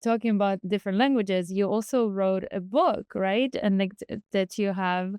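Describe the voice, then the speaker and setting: A woman's voice: mid-range voice, normal speed, darkish tone, clear, resonant tone, dry recording, clean studio sound. A woman hosting a podcast who reflects earnestly and asks long questions. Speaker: podcast host. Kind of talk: podcast interview.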